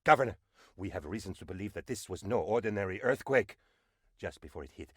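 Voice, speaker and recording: Video game advisor: fawning, melodramatic right-hand man of an island leader, lively swooping delivery, dry close sound. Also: mid-range voice, dry recording. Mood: grave. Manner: theatrical, flattering, melodramatic. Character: excitable, fawning male presidential advisor on tropical island. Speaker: video game character voice actor. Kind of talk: animated video game voice lines.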